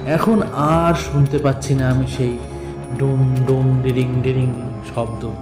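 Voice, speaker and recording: Slow, theatrical man narrating, echoey. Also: medium pitch, flat delivery. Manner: theatrical, deliberate, with drawn-out pauses. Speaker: audio story narrator. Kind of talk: dramatic first-person literary story narration. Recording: echoey voice with music bed. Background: background music.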